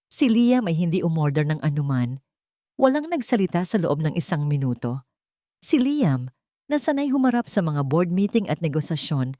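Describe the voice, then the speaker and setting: A woman's voice: fairly low pitch, a little mellow, no echo, clean studio sound. A woman narrating a scripted romance story in a theatrical, emphatic manner.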